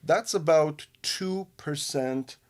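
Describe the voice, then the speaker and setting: A man from Armenia with an Armenian accent, co-hosting a news discussion and talking haltingly with many fillers and restarts. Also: fairly high pitch. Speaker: radio host.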